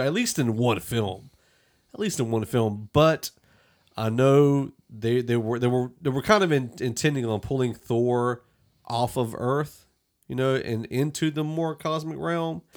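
A man hosting a podcast, casually discussing a film and thinking aloud in a halting way. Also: medium pitch. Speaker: podcast host.

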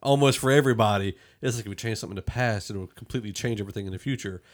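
A man's voice: fairly low pitch, rapid-fire. A man hosting a casual movie review podcast, talking in an opinionated, conversational way. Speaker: podcast host.

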